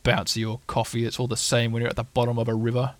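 A man voicing a gloomy, aggrieved storybook donkey with a slow, deadpan, sarcastic way of complaining. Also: mid-range voice, no room sound, lightly acted. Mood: gloomy, grumbling.

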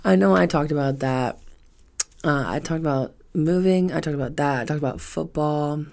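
A woman's voice: low-pitched, normal speed, vocal fry, darkish tone. A woman in her twenties chatting casually on a solo podcast, rambling and swearing freely with emphatic repetition. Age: 20s. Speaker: podcast host.

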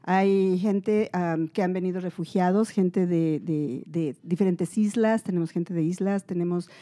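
A woman with a mellow, warm voice speaks in a slow, measured way and stops often for fillers. She is a guest on a panel talk, sharing how she runs stores. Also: fairly low pitch. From Latin America.

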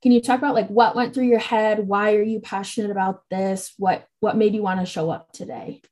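A woman's voice: medium pitch, steady tone, brisk, darkish tone. A woman hosting a public health podcast, speaking in a casual way with a few fillers. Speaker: podcast host.

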